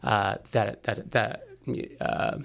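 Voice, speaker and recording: Male academic guest from China, halting and hesitant delivery, creaky gravel in the voice. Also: on the low side, fairly even intonation, fairly bright tone. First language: Chinese. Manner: hesitant, many restarts and fillers. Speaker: anthropology professor guest. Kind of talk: studio interview.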